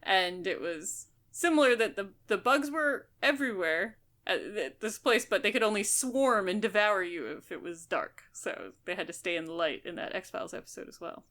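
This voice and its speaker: A woman's voice: medium pitch, expressive, normal speed, hardly any pauses, a bit bright. A woman co-hosting a podcast in casual chat, rambling on enthusiastically and piling detail onto detail. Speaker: podcast co-host.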